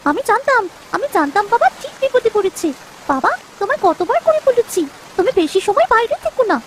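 A performer voicing a caring, eager little village girl in a cartoon, earnest and gently bossy. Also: extremely high pitch, very animated, a little fast, slight background noise. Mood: worried, earnest. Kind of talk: dramatic cartoon story dub.

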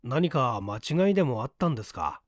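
A quick-witted young man in an audiobook mystery, voiced by a male reader. He is breezy and composed, with lively intonation in a dry, close recording. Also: medium pitch, dry recording. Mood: casual bluffing. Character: cool, quick-witted young son, casually bluffing.

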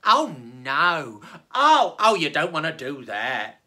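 A storyteller voicing a flustered female goat who chatters quickly and nervously, repeating "oh" again and again. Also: medium pitch, huge pitch swings, bright, forceful tone, full character voice.

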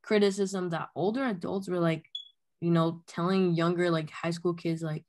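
A woman's voice: lowish voice, fairly even intonation, moderate pace, darkish tone. A young woman from Honduras, earnestly telling her story as an activist over a video call from her bedroom, with many "um"s and "like"s. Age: young adult. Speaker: youth climate activist. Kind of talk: casual spoken account. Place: bedroom at home, indoors. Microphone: laptop webcam, video call.

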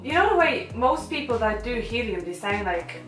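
High-voiced young woman, roomy recording. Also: very animated, normal speed, a bit bright, faint music behind. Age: 20s. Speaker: vlog co-host. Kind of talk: casual playful challenge banter. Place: small bedroom, indoors. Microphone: camera a couple of metres away. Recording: roomy, distant camera mic.

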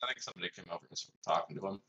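A man's voice: deep voice, very fast, sharp tone. A man chatting casually as a tabletop game player over dull, roomy voice-chat audio.

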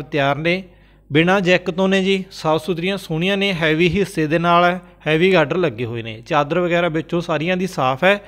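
High-voiced Punjabi man reading sale ads. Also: fairly bright tone. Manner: brisk salesman patter, repeats prices and phone numbers. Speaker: host of a used farm machinery sales channel. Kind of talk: voice-over listing items for sale.

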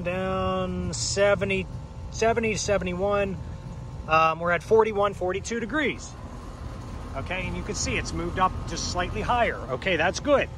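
A man in his thirties with a fairly high voice talks an audience through a repair on an outdoor unit, one step at a time. He keeps checking in with a quick "okay?". The camera mic picks him up outdoors, with faint traffic and street sound behind him. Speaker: HVAC technician. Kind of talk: hands-on how-to explanation. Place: outdoors by an air conditioning unit in a yard. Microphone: camera mic, handheld or on a tripod.

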